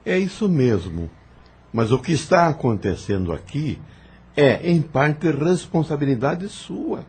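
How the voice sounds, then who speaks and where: A man playing a calm, patient spirit mentor in an audio novel, speaking in a solemn, formal, instructive manner. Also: fairly high pitch, a little slow, slightly rough, heavily acted.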